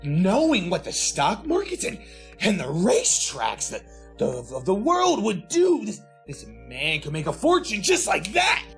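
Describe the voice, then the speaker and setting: An actor playing an excitable, grandiose young club member in a theatrical, rhapsodic retro radio drama style. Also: male voice, high voice, measured pace, faint music behind, heavily acted. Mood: feverishly grandiose.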